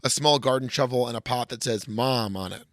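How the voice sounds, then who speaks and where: A man's voice: mid-range voice, fast talker, a bit bright, dry recording, studio quality. A man hosting a podcast, casually reading a screenplay aloud with wry, profane asides. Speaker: podcast host.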